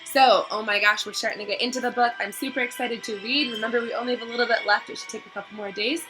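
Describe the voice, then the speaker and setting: A woman's voice: a little high, steady tone, quick pace, music in the background, rough recording. A woman reading a children's book aloud, with casual asides to listeners. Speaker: reader of a children's read-aloud, addressing listeners.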